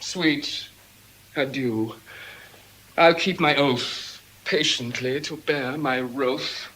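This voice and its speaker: A man's voice: medium pitch, very slow, sharp tone, slight background noise, low-quality recording. An actor playing a proud, pompous nobleman suitor, declaiming classical verse. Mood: indignant, dismayed. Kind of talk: classical stage verse acting.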